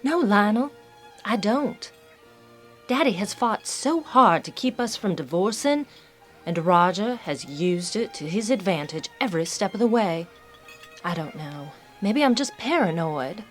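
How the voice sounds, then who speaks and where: A woman's voice: medium pitch, lively intonation, measured pace, faint music behind, lightly acted. A woman playing a proud, defiant heiress in a melodramatic audio drama, speaking in an earnest, defensive, emphatic way. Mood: wary. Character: proud, defiant oil heiress, torn by family duty.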